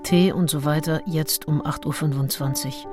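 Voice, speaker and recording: A woman's voice: deep voice, fairly even intonation, moderate pace, over music, natural voice. A woman playing an observant traveller in a radio play, reading out her terse, matter-of-fact diary notes. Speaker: diarist.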